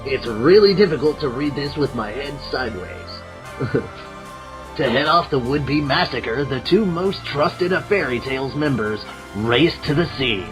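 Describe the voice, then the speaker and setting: A man hosting a fan podcast, reading narration aloud in a quick, amused way. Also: medium pitch, animated, over music, rough recording. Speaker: podcast host.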